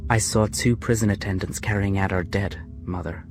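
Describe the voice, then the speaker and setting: An actor playing a worried young son in prison in a radio drama, speaking earnestly and somberly. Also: male voice, deep voice, fairly even intonation, moderate pace, lightly acted. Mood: somber, reflective. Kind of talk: radio drama acting with letter narration. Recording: clear ambient noise behind the voice.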